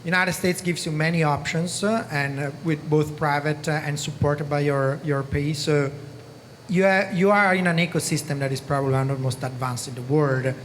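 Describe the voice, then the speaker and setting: A man's voice: a little high, moderate pace, a bit bright. Italian-accented man in a big hall. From Italy. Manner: earnest, repeats himself, frequent "okay?". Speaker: panelist. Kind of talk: conference panel discussion. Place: large conference hall stage. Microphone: handheld microphone. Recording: reverberant hall with background noise.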